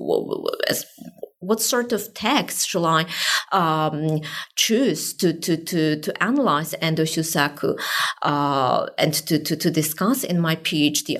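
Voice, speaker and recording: A woman academic whose first language is Japanese, answering interview questions carefully and often stopping to find the right word. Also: on the low side. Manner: careful, searching for words. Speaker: academic guest.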